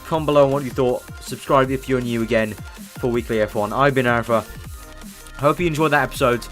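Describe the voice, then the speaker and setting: A hoarse man, losing his voice as he gives fast, excitable commentary on his own racing game play. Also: mid-range voice, faint music behind. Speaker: gaming YouTuber.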